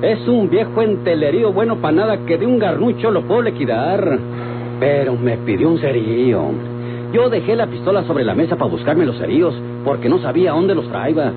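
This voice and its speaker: A male actor plays a weakened rustic Mexican gunman in an old radio drama. He grumbles indignantly in country slang, his pitch swinging widely. The recording is thin and muffled, with music behind. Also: lively intonation, normal speed, lightly acted.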